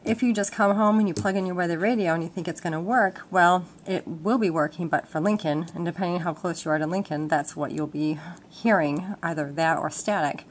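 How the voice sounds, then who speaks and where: A woman's voice: on the low side, brisk, hardly any pauses. A woman giving an informal, step-by-step explanation in a casual demonstration. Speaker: instructor. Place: indoors at a wooden table at home. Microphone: handheld camera microphone. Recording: noisy handheld home-video audio with clicks.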